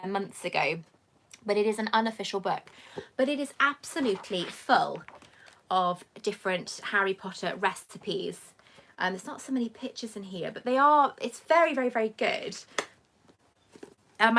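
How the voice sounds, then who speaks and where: A woman's voice: medium pitch, fairly bright tone, a little hiss or hum. A middle-aged woman with a mixed southern English and Welsh accent, chatting on a live Q&A stream and reading viewer comments aloud. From England, raised in Wales. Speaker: host.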